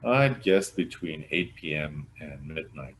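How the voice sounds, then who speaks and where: A man's voice: rumbling low, expressive, measured pace, slight background noise. A man voicing a plain-spoken, slightly impatient deputy in a mystery storybook. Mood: matter-of-fact.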